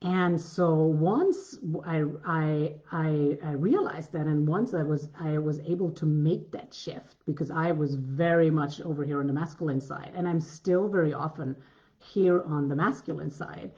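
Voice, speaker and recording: A woman with a deep voice giving a coaching masterclass, earnest and repetitive, often restarting her sentences. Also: measured pace, mellow, muffled recording. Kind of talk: masterclass talk.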